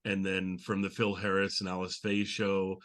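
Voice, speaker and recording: A man's voice: deep voice, monotone, moderate pace, a bit bright. A man hosting a podcast in a casual, conversational style. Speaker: podcast host.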